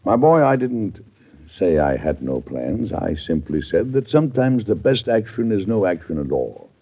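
A steadfast older statesman in a classic radio play. The voice is very deep and slightly husky, delivered with deliberate, paternal gravity. The sound is a muffled old broadcast recording. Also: very animated, full character voice. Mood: firm, resolute. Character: steadfast older president, fatherly, grave and resolute. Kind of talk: old-time radio drama acting.

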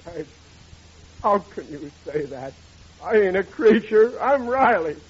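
A man's voice: high-pitched, very animated, slow, husky, exaggerated voice. An actor playing a melodramatic, woeful middle-aged working-class father in 1940s radio comedy style, whining and ungrammatical. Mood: pleading. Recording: dull noisy old radio recording.

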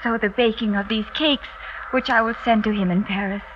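A high, theatrical woman's voice, an actress playing the part of a resolute, coolly polite young wife. Her speech is measured and formal, in an old radio play with muffled sound and faint hiss. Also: fairly even intonation, close to an everyday voice. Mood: resolved. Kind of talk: 1950s radio drama acting.

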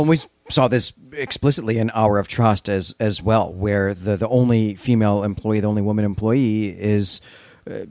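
A man's voice: lowish voice, darkish tone, dry recording, clean studio sound. A man co-hosting a podcast, explaining and recapping literature in an articulate, engaged, conversational style. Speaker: podcast co-host.

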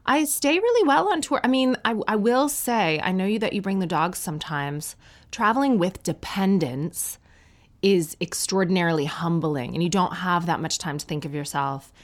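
A woman's voice: on the low side, lively intonation, moderate pace. A woman in her thirties from Canada, a touring comedian chatting as a guest with dry, self-mocking humor. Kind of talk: casual chat.

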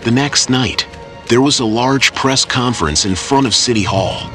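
A man's voice: mid-range voice, normal speed. A man narrating an audio drama from a script in dramatic storytelling style. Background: loud music, action sound effects.

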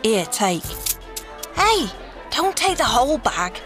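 A woman's voice: fairly high pitch, big pitch swings, takes it slowly, over music. A woman playing a cocky, boastful elf adventurer in a fantasy audio drama, with a brash, showy manner. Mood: wry, bossy.

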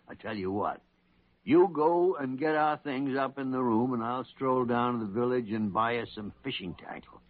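An actor playing a crusty, blustering older senior doctor in an old radio drama, gruff and stammering as he hunts for words. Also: medium pitch, normal speed, lightly acted. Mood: jovial, boastful. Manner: gruff, grumbling, stammering when searching for words. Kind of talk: 1940s radio drama acting. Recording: muffled vintage radio recording.

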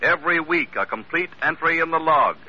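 A man's voice: fairly high pitch, lively intonation, brisk, husky. A man announcing in grand, declamatory 1940s radio style, reading a script. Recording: muffled old radio recording over loud music.

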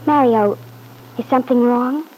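An actress playing a sweet, anxious young wife, eager and placating, in an old-time radio soap opera. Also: female voice, on the high side, unhurried, warm tone, slightly rough, poor audio. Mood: bewildered, hurt.

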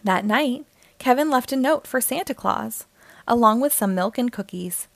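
A woman's voice: a little high, animated, moderate pace, gravelly, a bit bright, dry recording. A woman narrating a storybook aloud for young children.

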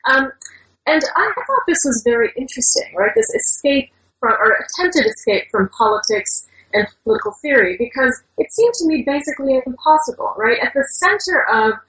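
Woman, academic guest, fairly high voice, articulate and discursive, explanatory interview talk. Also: very animated, moderate pace, pushed and bright, rough recording. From Indonesia. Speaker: interview guest, scholar and book author.

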